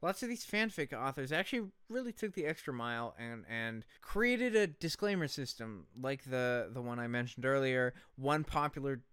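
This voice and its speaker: A man with a bright, crisp voice tells a story as co-host of a comedy podcast. He is casual and sweary, leaning hard on key words. The sound is close and dry. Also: mid-range voice, lively intonation, no echo. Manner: profane, emphatic, deliberate storytelling.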